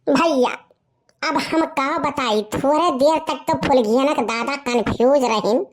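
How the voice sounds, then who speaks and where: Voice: high voice, a little slow. A performer voicing a boastful young village slacker in a comic cartoon story, with a rustic eastern Uttar Pradesh Awadhi accent.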